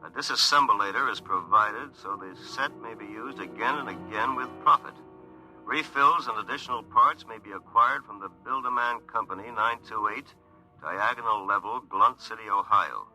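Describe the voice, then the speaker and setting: A self-assured, successful attorney, narrating in a fairly low voice. He is wry and confiding, in old radio drama style, with clear music underneath. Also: normal speed, bright, forceful tone, heavily acted, rough recording. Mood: wry recounting. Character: self-satisfied, successful male attorney recounting his story. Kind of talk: 1950s radio drama first-person narration. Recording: 1950s radio broadcast, music behind.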